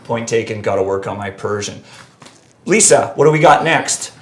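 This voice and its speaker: A man hosting a live-stream debate show, reading out viewer comments in an energetic, joking way, his voice a little hoarse. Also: on the low side, moderate pace. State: voice going a bit hoarse. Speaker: host.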